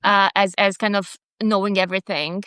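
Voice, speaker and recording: A woman's voice: mid-range voice, flat delivery, normal speed, pushed and bright, clear, resonant tone, no echo, studio quality. A woman hosting a conversational interview, hesitant and hedging, with many fillers. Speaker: podcast host.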